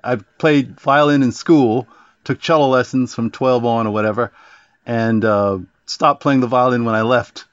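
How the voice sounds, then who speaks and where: A man from Virginia who plays cello in an orchestra, reminiscing in a relaxed way in an interview. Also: mid-range voice, a little slow, no echo, highs cut off. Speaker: orchestra cellist guest. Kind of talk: interview storytelling.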